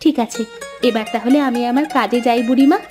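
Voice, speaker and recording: A poor village wife voiced by an actress in a cartoon folk tale. She is kind and anxious, with a high, pleading, gentle voice over clearly audible music. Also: quick pace, lightly acted. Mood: resigned. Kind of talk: cartoon moral-story dialogue. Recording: music behind the voice.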